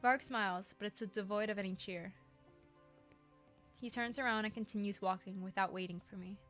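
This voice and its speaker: A woman's voice: medium pitch, steady tone, fairly quick, a bit bright, soft background music. Woman narrating a game with joking asides. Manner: laughs often, mixes dramatic narration with joking asides. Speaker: let's play host. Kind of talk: reading a visual novel aloud with commentary.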